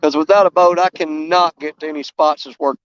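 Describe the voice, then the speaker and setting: Guy fishing live, hoarse and losing his voice, highish voice, dry dull sound. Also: fairly even intonation, a little fast, slight background noise. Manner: rambling, self-mocking, drawling. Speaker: fishing vlogger streaming live. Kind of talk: casual live-stream chat while fishing. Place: outdoors by a river.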